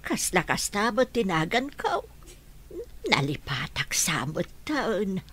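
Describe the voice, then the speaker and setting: A woman playing a forgetful, hungry old grandmother in a radio drama, speaking in halting, faltering phrases and repeating herself. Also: medium pitch, very animated, fairly bright tone, a little hiss or hum. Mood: confused.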